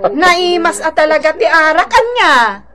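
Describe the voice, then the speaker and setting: A woman's voice: extremely high pitch, big pitch swings, measured pace, pushed and bright, exaggerated voice. A woman playing a bitter, sarcastic wife and mother of a poor family in an emphatic radio drama. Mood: bitterly mocking.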